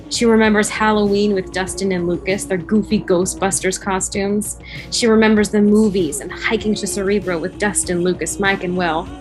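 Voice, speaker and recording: Woman narrating a script over music. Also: mid-range voice. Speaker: stage-direction narrator. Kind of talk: scripted narration of a fan table read. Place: remote video call, music behind. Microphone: video call.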